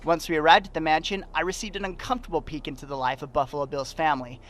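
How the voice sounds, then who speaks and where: A man's voice: on the high side, steady tone, very fast, a bit bright. A man with an American accent giving scripted television news reports.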